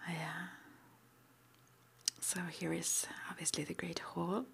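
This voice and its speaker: A woman speaks in a breathy whisper, very slowly and with long, halting hesitations. Her voice sits low with some creak in it. She plays a gently reassuring guide in an ASMR roleplay, over faint background noise. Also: flat delivery. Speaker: ASMR roleplayer playing a witch school guide and interviewer.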